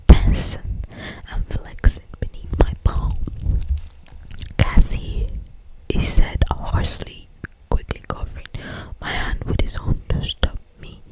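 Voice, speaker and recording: A woman reading a story for ASMR in a breathy, intimate, drawn-out whisper. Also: rough recording. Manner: slow, intimate, drawn-out delivery. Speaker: ASMR reader.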